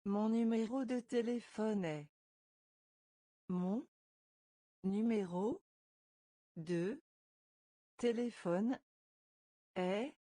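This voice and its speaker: Woman, French teacher, very slow word-by-word drill, mellow voice, dry close sound. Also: medium pitch, dry recording. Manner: breaks phrases into single words, then repeats them whole. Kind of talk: slow phrase-by-phrase pronunciation drill.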